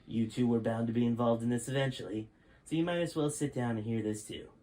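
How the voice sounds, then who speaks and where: A stern five-star general, as a man reads him aloud, grave and lecturing, with a middle-pitched male voice. He speaks in a plain reading voice rather than a heavily acted one. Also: fast, warm tone, slight background noise, lightly acted, low-quality recording.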